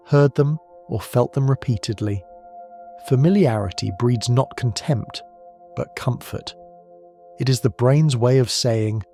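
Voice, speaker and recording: Slow, calm man with gravelly fry. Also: medium pitch. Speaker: sleep narrator. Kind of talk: soft-spoken bedtime narration.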